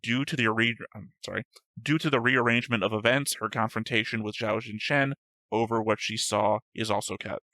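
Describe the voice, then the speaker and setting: A man with a bright, forward voice chats casually while reading through notes, stopping often to correct himself and swearing now and then. He is recorded dry and close on the mic, with no room sound. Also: fairly low pitch, steady tone, a little fast, dry recording. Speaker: reaction show host reading notes.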